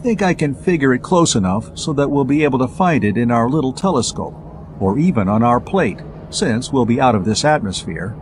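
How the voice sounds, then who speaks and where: A man's voice: mid-range voice, very animated, a little fast, slight background noise, close to an everyday voice. A man voicing an eager, slangy spaceman inventor in a science fiction audiobook, explaining technical things breezily.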